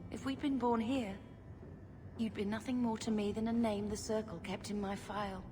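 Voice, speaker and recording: An actress playing an earnest, wistful young mage in a video game, speaking sincerely and reflectively. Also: female voice, mid-range voice, fairly even intonation, normal speed, noisy background, lightly acted.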